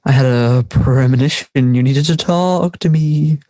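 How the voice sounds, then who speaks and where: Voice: medium pitch, a little slow, dark tone, dry recording, clean studio sound, lightly acted. A teenage performer playing a carefree, teasing school friend in conversational audio drama dialogue. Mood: breezy. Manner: laid-back, teasing.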